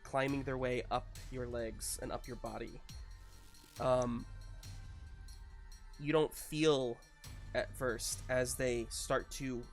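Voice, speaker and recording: A woman game master narrating a live tabletop roleplaying game, thinking aloud in a halting way. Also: very deep voice, fairly even intonation, faint music behind. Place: live online game stream.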